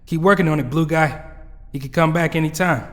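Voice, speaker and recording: A caring teenage team hero, played by a man, with a fairly high male voice in a long reverb. He is supportive and encouraging, as in audio drama dialogue. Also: fairly quick, fairly bright tone, lightly acted. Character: concerned, supportive teenage boy hero looking after his team.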